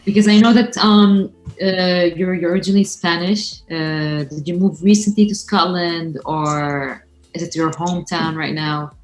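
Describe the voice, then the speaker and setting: A woman's voice: fairly low pitch, fairly even intonation, normal speed, faint music behind, poor audio. A woman hosting a livestream, asking an illustrator questions over a video call in an upbeat, casual way with many fillers. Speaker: livestream host. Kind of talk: casual interview chat. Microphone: video call from home.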